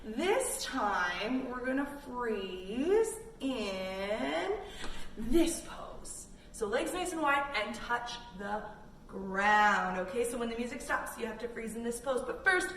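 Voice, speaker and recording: High-voiced woman teaching kids, roomy echo. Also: lively intonation, slow pace, fairly bright tone. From Canada. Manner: encouraging, simple step-by-step cues for children. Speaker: children's yoga teacher reading a picture book. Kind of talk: children's read-along and yoga instruction. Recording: roomy echo, distant mic, background noise.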